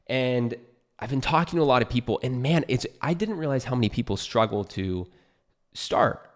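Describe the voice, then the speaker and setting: A man's voice: medium pitch, animated, normal speed. A young adult man from California with an American accent, talking to camera in a casual, unscripted way, earnest and encouraging like a YouTuber giving advice. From California, United States.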